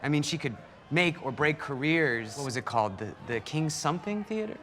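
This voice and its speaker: An actor playing an eager researcher who stammers as he excitedly recalls what he read. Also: a little high, fairly quick. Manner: tumbling, stammering recollection.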